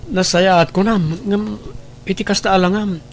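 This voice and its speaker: A man's voice: high voice, fairly even intonation, normal speed, slight background noise. A man playing a friendly, concerned old acquaintance in an audio drama, with an Ilocano Filipino accent. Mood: friendly, curious.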